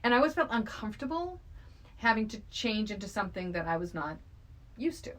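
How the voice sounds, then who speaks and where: Middle-aged female podcast voice, average pitch, conversational, outspoken, informal. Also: sing-song, fairly quick, a bit bright. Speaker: podcast co-host. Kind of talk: casual film discussion.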